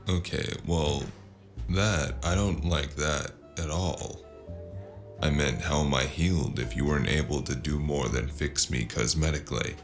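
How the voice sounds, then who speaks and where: A man playing a sardonic, battered spacer in a noir audio drama, with a gravelly voice and a wry, deadpan delivery. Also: extremely low pitch, unhurried, faint music behind. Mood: wry, unsettled.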